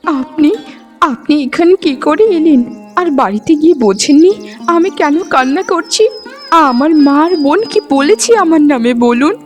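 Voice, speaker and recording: High-pitched, pleading young bride, acted by a woman. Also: lively intonation, normal speed. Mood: hurt. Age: young adult. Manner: pleading, repeats phrases. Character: rejected, self-pitying young bride, meek and pleading. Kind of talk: Bengali cartoon dubbing, melodrama. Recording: music behind the voice. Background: background music.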